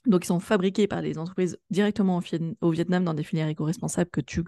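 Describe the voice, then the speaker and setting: A woman's voice: fairly low pitch, fairly even intonation, fast talker, warm tone, no room sound, studio quality. A woman hosting a podcast interview in a casual, effusive and informal way. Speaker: podcast host interviewing a guest.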